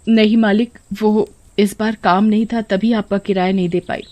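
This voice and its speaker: A woman's voice: mid-range voice, fairly even intonation, moderate pace, warm tone, natural voice. A woman as a worried, humble poor mother. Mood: pleading. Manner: humble, beseeching. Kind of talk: moral story character reading.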